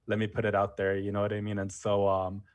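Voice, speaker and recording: A history teacher talking casually as an interview guest, full of "you know" and "um" fillers. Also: male voice, lowish voice, monotone, quick pace. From United States. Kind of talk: casual conversation.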